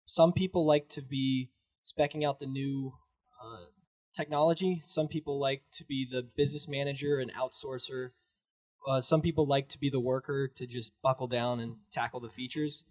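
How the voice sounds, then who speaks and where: Startup guy on a podcast, earnest, explains stuff steady, dry close sound. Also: medium pitch, normal speed, dry recording. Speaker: guest from a startup team. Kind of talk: casual podcast chat.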